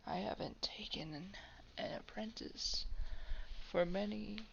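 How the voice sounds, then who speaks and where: A woman voicing a haughty, menacing old vampire in a roleplay audio drama, breathy and gravelly, drawing out words with soft condescension. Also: mid-range voice. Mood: haughty, musing. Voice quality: breathy whisper with gravelly creak. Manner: slow, drawn-out, condescending, softly threatening. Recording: dull, lo-fi home microphone.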